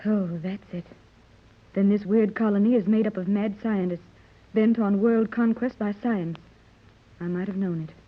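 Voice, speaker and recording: A woman's voice: medium pitch, fairly even intonation, normal speed, natural voice. A woman playing a tender, anxious wealthy American mother, earnest and melodramatic in 1930s radio serial style. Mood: alarmed. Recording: muffled old 1930s radio recording.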